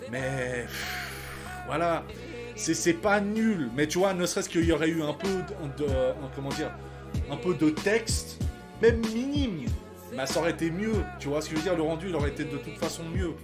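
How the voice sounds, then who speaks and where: A man in his thirties giving casual reaction commentary, talking in slang with lots of sighs, fillers and restarts. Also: on the high side. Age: 30s. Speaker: reaction channel host.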